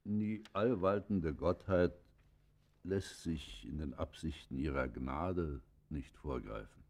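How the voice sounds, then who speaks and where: Very deep, solemn confessor. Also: male voice, exaggerated voice. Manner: solemn, measured, formal. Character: grave, pious male confessor questioning a condemned criminal. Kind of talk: German radio play acting, formal dialogue.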